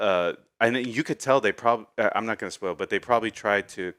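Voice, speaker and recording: A man's voice: fairly low pitch, a little fast, a bit bright, clear, resonant tone, no room sound, clean studio sound. A man co-hosting a video game show, chatting casually about a film, agreeable and hesitant, often repeating his points. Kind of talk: casual film review chat.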